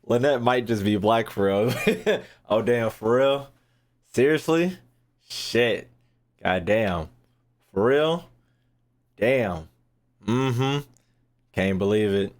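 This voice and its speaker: A man gives casual, off-the-cuff reaction commentary as a streamer. His pitch swings widely as he drops slangy, profane, incredulous asides. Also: lively intonation, slow pace, long pauses. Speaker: streamer reacting to game lore.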